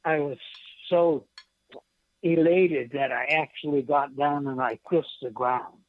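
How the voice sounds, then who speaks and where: An American man in his seventies speaking as a radio guest in a halting, plainspoken way, on a dull, muffled line. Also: a little high, steady tone. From United States. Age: 70s. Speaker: guest, poet and veteran. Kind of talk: interview reminiscence.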